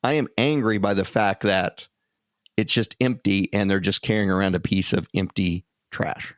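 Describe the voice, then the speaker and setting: A man's voice: low-pitched, steady tone, moderate pace, no echo, clean studio sound. A man co-hosting a podcast in a casual, conversational storytelling style. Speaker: podcast co-host.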